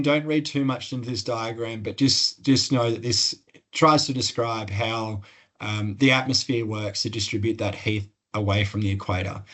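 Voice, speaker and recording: An Australian man giving an explanatory webinar presentation as an expert, in an informal, plain-spoken and slightly hesitant way. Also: fairly low pitch. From northern New South Wales, Australia.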